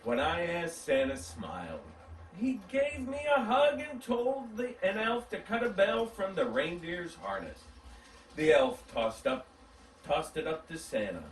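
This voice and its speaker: A man reads a storybook aloud at a slow, deliberate pace. His fairly high voice swings dramatically as he narrates. The recording is roomy and distant, with faint noise behind him. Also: sing-song. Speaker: story reader. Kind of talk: animated storybook read-aloud.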